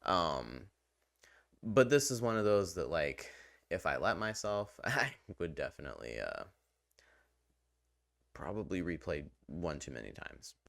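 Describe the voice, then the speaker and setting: A man's voice: deep voice, sing-song, unhurried, vocal fry, pauses often, fairly bright tone, no echo, studio quality. A man giving casual, rambling game review commentary in a conversational, enthusiastic way. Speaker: gaming video host and reviewer.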